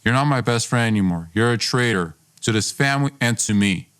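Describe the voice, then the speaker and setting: A man's voice: medium pitch, animated, a little fast, fairly bright tone, smooth, close to an everyday voice. A man playing a hostile, bitter young best friend in dramatized dialogue, accusatory and confrontational. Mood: angry, final.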